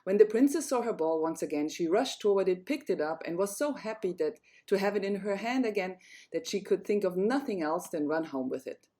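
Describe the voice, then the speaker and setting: A woman's voice: medium pitch, lively intonation, a little fast, warm tone. A woman storyteller narrating a fairy tale, reading it aloud.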